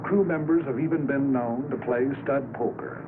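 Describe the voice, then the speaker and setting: An American man giving a measured, solemn scripted narration in the style of a 1940s wartime documentary. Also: mid-range voice. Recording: scratchy 1940s film soundtrack, narrow band, noisy.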